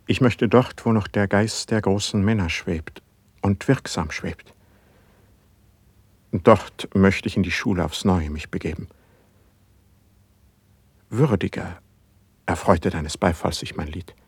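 An actor playing an earnest, brooding poet, humble yet insistent, with solemn formal declamation in a classic German verse radio drama. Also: male voice, sits low, slow pace, long pauses, breathy edge, dry recording, heavily acted. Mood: humble, pleading.

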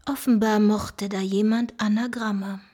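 A woman's voice: mid-range voice, fairly even intonation, unhurried, dark tone, slight background noise, natural voice. An actress playing an analytical, knowledgeable female investigator with dry wit, explaining clues in a radio drama. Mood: pondering.

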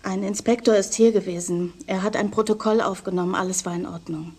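A gentle, discreet confidante in a radio play. Her voice is mellow and breathy, mid-pitched, and she speaks with quiet composure. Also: fairly even intonation, brisk. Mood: subdued, factual. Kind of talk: quiet, intimate radio drama acting.

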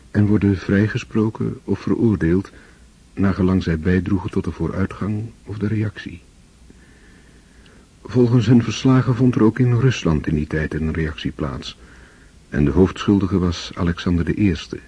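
Deep, dark-voiced male narrator, lo-fi. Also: breathy edge. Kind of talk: scripted literary narration. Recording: dull lo-fi old radio recording.

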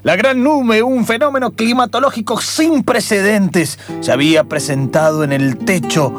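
A man narrating improvised radio theatre in a dramatic, portentous way, with deliberate repetitions. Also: high-pitched. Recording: music behind the voice.

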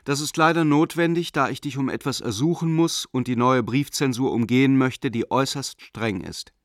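A man's voice: medium pitch, no room sound, clean studio sound, close to an everyday voice. A man playing a coldly formal zealot banker. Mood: curt, formal. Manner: measured, declamatory, self-righteous. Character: zealous German banker turned Nazi believer, coldly formal. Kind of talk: radio play letter monologue.

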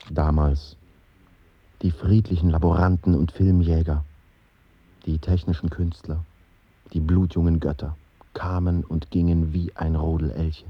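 An actor in a German radio play voicing a brooding, persecuted Soviet film artist in terse, elegiac fragments. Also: male voice, low voice, level tone, a little slow, long pauses, breathy edge, dry recording, muffled recording.

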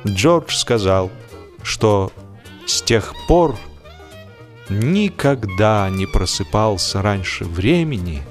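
A man narrating a humorous story as a scripted reading, theatrical in delivery, with drawn-out words and emphatic swings. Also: fairly low pitch, lively intonation. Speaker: audiobook narrator. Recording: music behind the voice. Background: music.

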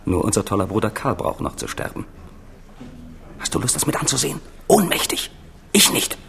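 A man's voice: lowish voice, very animated, moderate pace, creaky, fairly bright tone, heavily acted. A man acting a scheming, resentful younger prince in a radio play, confiding and sardonic. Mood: bitter, scornful.

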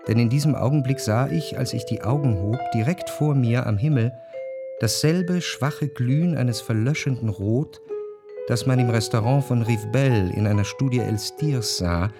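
A man's voice: mid-range voice, natural voice. Actor as introspective, melancholy male narrator. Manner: measured, reflective. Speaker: first-person narrator. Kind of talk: literary radio drama narration. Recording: studio narration with faint music.

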